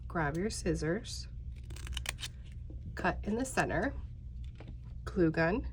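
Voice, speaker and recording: Woman crafter in her thirties, stuffy and a little nasal, chatty and casual, lowish voice, faint hiss behind. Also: lively intonation, slow. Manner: chatty, talks straight to viewers, many fillers. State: congested, slightly nasal. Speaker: crafting tutorial host. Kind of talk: casual step-by-step craft tutorial.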